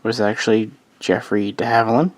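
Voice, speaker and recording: A man's voice: on the low side, little pitch movement, fairly quick, no echo. A man losing his voice, casually narrating a history explainer as host, rambling and correcting himself with offhand asides.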